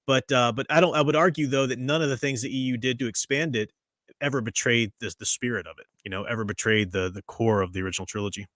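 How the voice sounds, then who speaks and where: A man's voice: mid-range voice, fast talker, a bit bright, no echo, clean studio sound. A man hosting a casual podcast discussion, rambling with frequent restarts and fillers. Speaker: podcast host.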